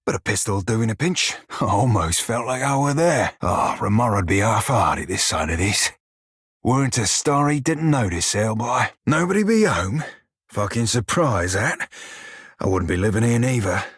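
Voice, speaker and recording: An actor voicing a wry, foul-mouthed pirate shiphunter in a video game, speaking a colloquial sailor's dialect with a gritty, husky voice. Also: male voice, fairly low pitch, sing-song, moderate pace, no room sound, clean studio sound, exaggerated voice. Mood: animated, teasing. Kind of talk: video game character dialogue.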